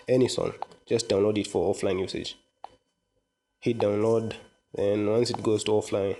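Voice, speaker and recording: A man narrating a software tutorial in an informal, step-by-step explanatory way. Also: lowish voice. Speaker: tutorial presenter. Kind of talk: casual software walkthrough narration.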